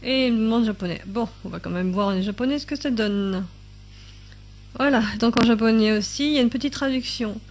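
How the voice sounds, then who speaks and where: Chatty woman demoing subtitles, fairly high voice. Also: brisk, darkish tone. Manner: chatty, thinks aloud with many fillers; reads out translated subtitles in other languages. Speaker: YouTube tutorial maker. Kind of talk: casual screen-recording tutorial.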